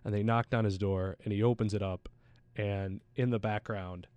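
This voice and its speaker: A man's voice: on the low side, steady tone, normal speed, darkish tone, smooth, no echo, muffled recording. A man chatting casually about sports as a pundit, in a slangy, anecdotal way. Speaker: soccer pundit on a talk show.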